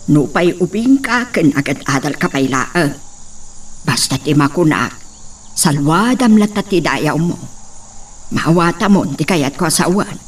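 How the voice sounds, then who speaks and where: A woman's voice: sits low, animated, unhurried, recorded from a distance, a little hiss or hum. A woman playing a strict mother who firmly lectures her student daughter about guarding her honor. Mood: stern. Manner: firm, lecturing. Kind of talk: melodrama acting.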